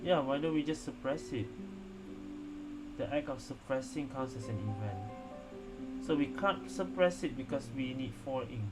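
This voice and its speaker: A male streamer reacts casually to a game. His mid-pitched voice puzzles things out aloud over loud music. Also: moderate pace, long pauses, low-quality recording. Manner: puzzled, thinking aloud, repeating what he hears. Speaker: gaming streamer.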